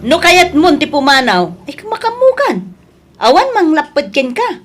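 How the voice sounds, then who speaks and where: Scolding, resentful mother played by an actress, high-pitched. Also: wildly swooping pitch, moderate pace, a little hiss or hum. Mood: angry scolding. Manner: scolding, emphatic. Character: angry, resentful mother scolding her disrespectful daughter. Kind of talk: Filipino audio drama acting.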